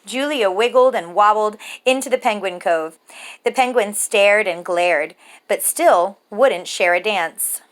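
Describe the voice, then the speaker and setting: Lady doing kids storytime, reads real slow and rhythmic, expressive, normal pitched voice. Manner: measured, rhythmic, expressive phrasing for young children. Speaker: storyteller reading a children's picture book aloud. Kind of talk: children's story read-aloud.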